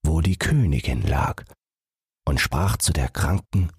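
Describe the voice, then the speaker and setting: A man reads a bedtime fairy tale in a very deep, husky voice. He goes slowly with expressive, varied intonation. The recording is close and dry. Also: dry recording. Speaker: audiobook narrator.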